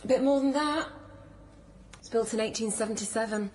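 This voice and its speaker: A woman's voice: fairly high pitch, a little fast, darkish tone, a little hiss or hum, natural voice. An actress playing a touchy, flippant, bookish woman in a naturalistic radio drama, speaking in a wry, guarded way. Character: touchy, flippant, bookish woman visiting her old friend.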